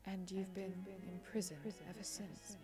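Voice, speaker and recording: Lady playing a young girl dragon, earnest n anxious, kinda hesitant, mid pitch, loud music under it, audio drama. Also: little pitch movement, a little slow, close to an everyday voice. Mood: concerned, questioning.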